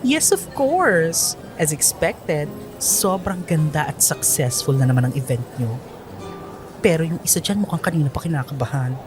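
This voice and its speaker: A woman's voice: sits low, animated, moderate pace, over music, low-quality recording. A woman playing a sassy, teasing best friend in a radio drama, with playful, exaggerated, slangy delivery. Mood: sassy, upbeat.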